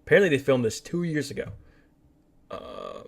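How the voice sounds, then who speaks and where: A man's voice: medium pitch, wildly swooping pitch, normal speed, gravelly. A man co-hosting a casual movie and game podcast, opinionated and emphatic, repeating words for stress. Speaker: podcast co-host.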